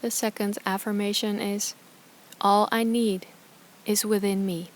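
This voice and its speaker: A woman guiding a meditation as a yoga teacher, speaking softly and soothingly in short, calm phrases with pauses between them. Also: mid-range voice. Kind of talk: guided meditation instruction.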